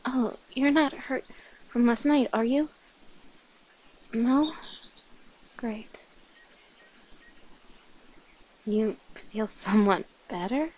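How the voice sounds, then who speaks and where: A woman playing a flirty, affectionate, slightly nervous vampire in an intimate ASMR roleplay, speaking softly, coyly and hesitantly to the listener. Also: on the high side, slow, long pauses. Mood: tender, flirty. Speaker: voice actor in an audio roleplay.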